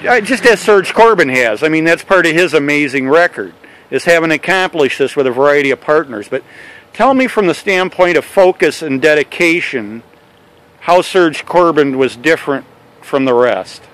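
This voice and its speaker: A man interviewing a canoe racer, building his questions slowly and rambling a little. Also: fairly high pitch, lively intonation, fairly bright tone, poor audio.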